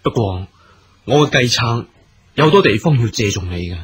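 A male actor playing a grave, deliberate army commander in a period radio drama, speaking formal, measured Hong Kong Cantonese. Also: mid-range voice, expressive, slightly rough. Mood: confiding, earnest. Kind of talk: theatrical radio drama acting.

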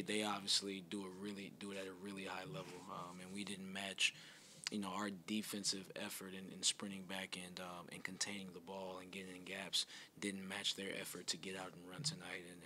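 Basketball coach, man in his thirties, deep monotone, halting answers into a table mic. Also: a little hiss or hum. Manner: measured, repeats himself with many "um"s. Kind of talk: postgame press conference. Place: press room in front of a sponsor backdrop. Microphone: table mic in front of him.

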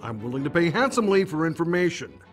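An actor playing a nervous, apologetic newspaper reporter in a video game, flustered and ingratiating. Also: male voice, fairly high pitch, very animated, fast, faint music behind. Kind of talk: video game cutscene acting.

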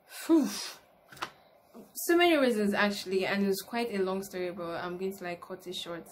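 A woman's voice: mid-range voice, normal speed. Young Nigerian woman chatting, noisy recording. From Lagos, Nigeria. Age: early 20s. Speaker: YouTuber, university student. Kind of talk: casual chat to camera, question and answer. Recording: noticeable background noise, room ambience.

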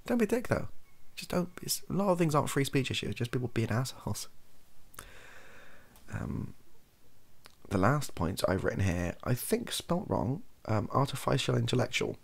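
A young British man giving an improvised, softly spoken, casual monologue with rambling, dry, offhand humour. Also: mid-range voice, very animated, moderate pace, creaky. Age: young adult. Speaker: host of a comedy ASMR channel. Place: small cluttered room indoors.

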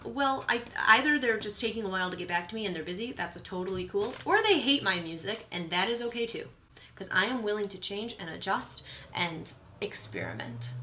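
A woman in her thirties talking casually to camera in a vlog, with an animated, playful and candid manner. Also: mid-range voice, slight background noise. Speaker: vlogger and musician. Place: small home kitchen, indoors.

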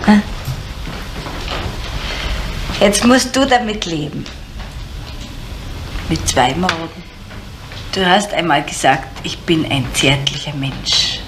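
An actress playing a bitter, desperate middle-aged woman in a crime radio drama, halting and repeating words, sometimes dropping into whispers. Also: low voice, expressive. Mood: wounded, vengeful. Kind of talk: naturalistic German radio crime drama acting. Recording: noisy 1970s radio play recording.

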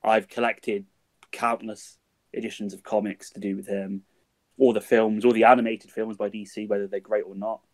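A man's voice: fairly low pitch, flat delivery, moderate pace, a little mellow. A man answering interview questions casually as a guest writer, rambling and correcting himself as he goes. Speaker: guest, audio drama writer.

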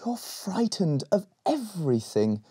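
A bold young storybook elf girl, voiced by a man, brisk and encouraging. The voice is high and lively, urging her companion on. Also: slightly rough, no room sound, exaggerated voice. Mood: exasperated.

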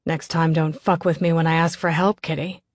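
A menacing criminal man from an audiobook thriller , acted by a woman narrator. He is desperate, contemptuous and goading. The voice is lowish and closely dry. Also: little pitch movement, moderate pace, dry recording, lightly acted. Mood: menacing, spiteful.

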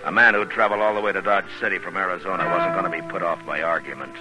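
An actor playing a steady, weary Old West lawman in a 1950s radio western, plainspoken and measured. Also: sits low, music in the background, lightly acted. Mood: grim, narrating.

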